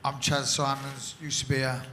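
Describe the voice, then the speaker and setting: Man, from London, fighter, press conference intro, flat, halting. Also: mid-range voice, background noise. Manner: plain, halting, repeats words.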